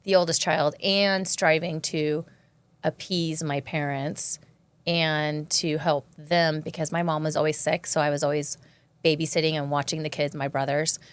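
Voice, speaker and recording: A woman co-hosting a podcast, asking questions and reflecting on her own life in casual conversation. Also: low voice. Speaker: podcast co-host.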